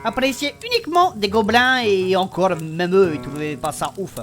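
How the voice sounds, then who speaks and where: Woman playing a bright, chatty young adventurer. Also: medium pitch, normal speed, close to an everyday voice. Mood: chatty, recounting. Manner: familiar, slangy, protesting. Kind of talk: fantasy comedy audio drama. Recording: faint music behind the voice.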